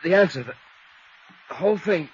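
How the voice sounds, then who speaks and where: A man's voice: on the high side, expressive, normal speed, slightly rough. An actor playing a frightened young man who has been poisoned and struggles for breath in a 1950s radio drama. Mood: gasping, desperate. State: breathless, gasping. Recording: muffled, faintly noisy 1950s radio recording.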